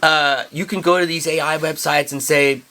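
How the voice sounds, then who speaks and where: A man's voice: on the high side, steady tone, moderate pace, bright, forceful tone. A man in his forties with a Pennsylvania Dutch accent, chatting casually and joking as co-host. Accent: Pennsylvania Dutch-influenced American English. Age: 40s. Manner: folksy, joking, talks in restarts. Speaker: co-host, high school teacher. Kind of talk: casual chat.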